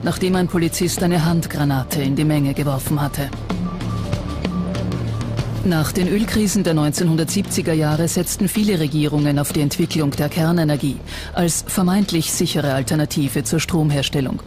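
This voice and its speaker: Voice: mid-range voice, normal speed. A documentary narrator reading a scripted account. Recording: music under the voice. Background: background music.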